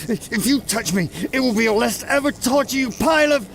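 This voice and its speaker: A man's voice: very high voice, a little fast, gravelly, noisy background. A man playing an indignant, threatening captive in a sci-fi audio drama, blustering and frantic. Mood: panicked defiance.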